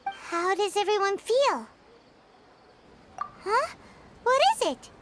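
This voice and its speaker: A tiny excitable fairy companion from a video game, extremely high and squeaky like a small child. The pitch bounces around in a lively way, over clear background noise. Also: female voice, takes it slowly, exaggerated voice. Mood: curious. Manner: speaks of self in third person. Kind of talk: English video game voice acting.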